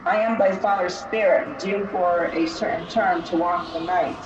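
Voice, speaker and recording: A woman voicing the solemn, aggrieved ghost of a murdered king, speaking in slow, archaic, portentous phrases in a tabletop game. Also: lowish voice, steady tone, highs cut off, noisy background, lightly acted. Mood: solemn, grave. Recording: echoey, distant, music underneath. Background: music.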